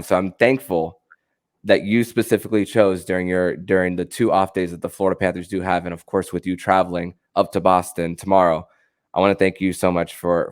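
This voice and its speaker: Low-voiced man hosting a sports podcast. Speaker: podcast host.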